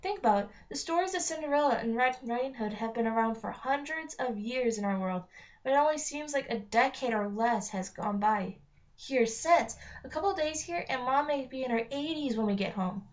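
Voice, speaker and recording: Woman as an eager, high-voiced young girl. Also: expressive, a little fast. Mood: earnest, insistent. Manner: earnest, insistent. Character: eager, dreamy, stubborn young girl, twin sister. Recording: dull lo-fi home recording.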